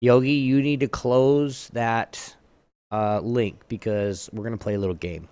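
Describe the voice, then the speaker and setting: A man's voice: medium pitch, steady tone, measured pace, darkish tone, clean tone, no room sound. A man hosting a casual gaming talk show, chatting informally and running a trivia game.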